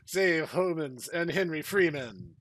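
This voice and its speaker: A wounded, noble hero at death's door, acted by a man. He speaks slowly and weakly, full of remorse, in a comic dramatic reading of fan fiction. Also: on the high side, fairly bright tone, dry recording. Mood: weak, remorseful. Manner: slow, weakened delivery.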